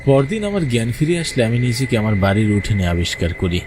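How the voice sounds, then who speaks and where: A man's voice: mid-range voice, big pitch swings, brisk, background noise. A man narrating a first-person ghost story for a cartoon in a dramatic way. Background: music under the voice.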